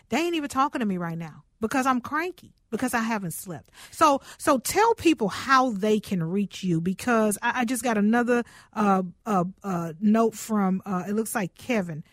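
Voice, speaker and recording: A woman's voice: mid-range voice, expressive, normal speed, hardly any pauses, no echo. A woman radio host, chatty and personal, interviewing a guest in a studio. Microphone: studio microphone.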